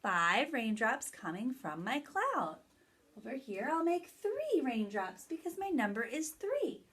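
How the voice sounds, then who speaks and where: A woman's voice: sits high, very animated, measured pace, fairly bright tone, breathy edge. A woman teacher leading a story time lesson for young children, explaining things step by step in simple phrases with key words stressed. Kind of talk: children's lesson, read-aloud and activity instructions.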